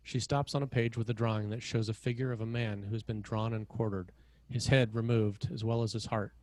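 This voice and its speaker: A man's voice: fairly low pitch, flat delivery, brisk, a little mellow, no echo. A man reading scene headings and stage directions as the narrator of a screenplay table read.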